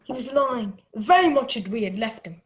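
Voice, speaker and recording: A woman's voice: on the high side, lively intonation, normal speed, close to an everyday voice. A woman playing a polite, earnest young boy who narrates his adventure in a radio play. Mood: sober storytelling.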